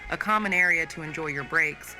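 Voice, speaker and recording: A polite, formal corporate narrator, acted for an analog horror tape reads a scripted training video. The medium-pitched voice is cheerful, with clear background music underneath. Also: brisk, lightly acted, low-quality recording.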